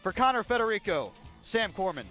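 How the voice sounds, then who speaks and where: A man calling baseball play-by-play for radio in a measured delivery that builds on big plays, working as a broadcaster at an outdoor ballpark. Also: high voice, sing-song, bright, forceful tone, faint music behind. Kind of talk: radio sports commentary.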